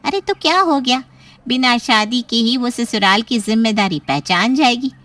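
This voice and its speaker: A woman's voice: on the high side, animated, normal speed, fairly bright tone, recorded from a distance. A woman playing a reassuring, persuasive middle-aged aunt in story dialogue, emphatic and coaxing. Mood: dismissive reassurance.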